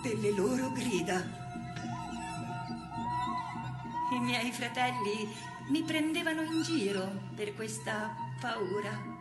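A haunted, reflective woman in a poetic stage monologue. She speaks very slowly and deliberately, in a slightly high voice. The sound is echoing, with dramatic, measured phrasing. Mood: fearful, haunted. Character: haunted, reflective woman recalling childhood fear of spirits. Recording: echoing theatrical sound with prominent music. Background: music.

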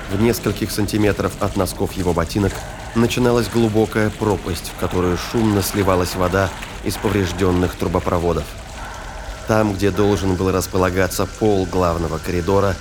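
A man's voice: low voice, background noise. A man narrating a science fiction audio drama in a scripted storytelling style. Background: ambience and sound effects.

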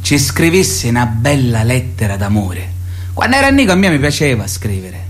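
A man's voice: medium pitch, sing-song, normal speed, a little hiss or hum, natural voice. An actor playing a pushy, lovestruck young man with a Sicilian accent in a radio play, colloquial and theatrical. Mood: reminiscing, animated. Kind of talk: radio theatre monologue and dialogue. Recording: acoustic guitar music behind.

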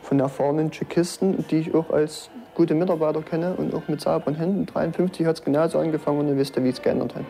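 A man reflects in measured phrases, as in an interview. His voice is slightly high yet gravelly and dark, with faint music underneath. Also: steady tone. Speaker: former state security insider giving testimony.

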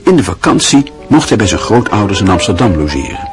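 A man with a very low voice narrates a radio play. He tells the story in a measured, expressive way, and his pitch rises and falls widely. Soft music sits faintly in the background.

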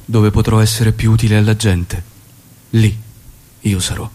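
An actor playing a resolute revolutionary doctor and loving husband in theatrical radio drama dialogue. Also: male voice, fairly low pitch, steady tone, unhurried, dark tone.

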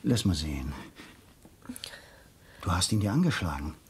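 An actor playing an anxious, haunted husband in a German radio crime drama, with a dramatic delivery that swings between hushed and urgent. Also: male voice, sits low, big pitch swings, moderate pace, slightly rough, full character voice. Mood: concerned.

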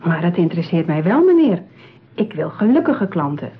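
An actress playing a smooth, patronising saleswoman in a radio play, with polished, persuasive patter. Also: lowish voice, sing-song, moderate pace. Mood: reassuring, persuasive. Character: smooth, patronising, eager saleswoman at a matchmaking agency. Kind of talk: radio drama acting. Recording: tinny, narrow-band old radio play.

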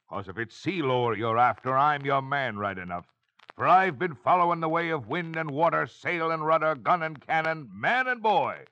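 An actor playing a hearty old sailor with salty nautical talk and theatrical swagger, in mid-century radio style. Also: male voice, medium pitch, pushed and bright. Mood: hearty, boastful. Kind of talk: 1940s-50s radio acting, scripted monologue. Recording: dull, lo-fi old radio recording.

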